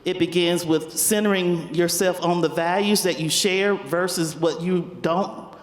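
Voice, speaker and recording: A woman's voice: fairly low pitch, measured pace. A woman with a South Carolina accent, speaking as a panelist on stage and telling folksy, humorous stories about her community work. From Spartanburg, South Carolina. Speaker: panelist, community foundation leader. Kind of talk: panel talk with personal storytelling. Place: large event hall on stage. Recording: echoey hall with long reverb.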